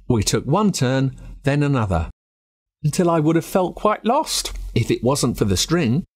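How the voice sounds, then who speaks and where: Lively Australian man reading a spooky story. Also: medium pitch, slightly rough. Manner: expressive, dramatic telling of a spooky tale. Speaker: audiobook narrator. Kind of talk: first-person storybook narration.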